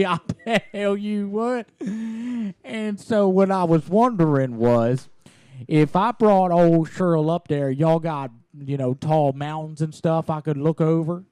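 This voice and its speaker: Prank-call comedy role: brash Southern country husband played by a man, rambling folksy drawl, slightly high voice, dry sound. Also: slow, a little mellow, smooth, no echo.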